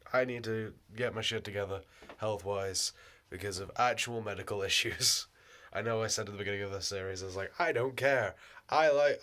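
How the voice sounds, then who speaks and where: Brief: male vlogger with a sore, cracking throat, lowish voice, rambling and sweary. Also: fairly bright tone. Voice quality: hoarse, voice cracking. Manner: rambling, swearing, laughing often. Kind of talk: casual rambling vlog.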